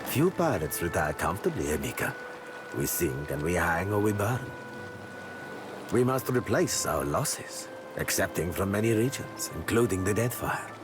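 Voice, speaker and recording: A deep, suave pirate captain. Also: male voice, big pitch swings, moderate pace. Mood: proud, measured. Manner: formal, elaborate, courteous phrasing. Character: suave, sly male pirate captain, courtly and calculating. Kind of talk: video game character acting. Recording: loud music behind the voice.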